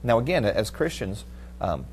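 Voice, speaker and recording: A middle-aged man hosting a studio panel talk, reading out and commenting on news in a halting way. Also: on the low side, big pitch swings, gravelly, a little mellow. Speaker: TV panel host. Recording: audible background noise with hum.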